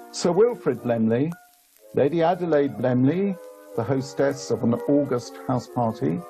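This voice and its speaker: A male narrator reads radio play script in a mellow, unhurried voice of medium pitch, with lively intonation. Music plays behind him. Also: low-quality recording.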